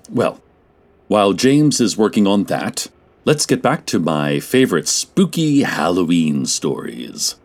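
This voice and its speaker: Slow, theatrical spooky radio host. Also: male voice, deep voice, big pitch swings, exaggerated voice. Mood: chatty, bemused. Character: spooky radio show host, chatty, theatrical, naively unbothered.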